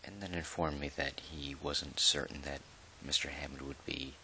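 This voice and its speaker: A man playing a measured, dry park employee who dictates an official audio log in a halting, deliberate way, in ASMR roleplay style. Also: a bass voice, fairly even intonation, pushed and bright, a little hiss or hum, exaggerated voice, poor audio. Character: male dinosaur park employee, measured, dryly put-upon record keeper.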